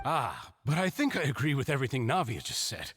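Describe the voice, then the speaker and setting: An actor playing a suave, composed accused gentleman in a video game courtroom drama, polite and unruffled. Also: medium pitch, very animated, brisk, a little hiss or hum. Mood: smug calm. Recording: long hall reverb.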